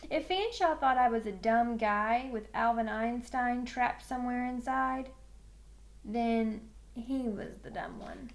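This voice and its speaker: A woman reading a first-person children's novel aloud with expressive storytelling. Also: a little high, slow. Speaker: read-aloud reader.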